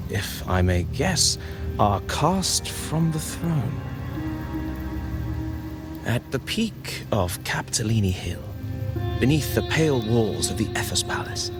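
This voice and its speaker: An actor playing a courtly, cryptic traveling poet in a fantasy game, speaking in an eloquent, measured, theatrical manner. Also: male voice, on the low side. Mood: solemn, musing, then grave, ominous. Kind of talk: dramatic fantasy game voice acting.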